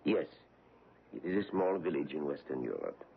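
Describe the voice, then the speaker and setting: An actor playing a courteous, probing foreign investigator on the trail of a war criminal, in a 1970s radio drama. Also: male voice, extremely low pitch, big pitch swings, normal speed, gravelly, slight background noise, exaggerated voice. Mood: suave, probing. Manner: courteous, probing, gently menacing. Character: suave middle-aged foreign male war-crimes investigator. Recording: tinny old radio broadcast.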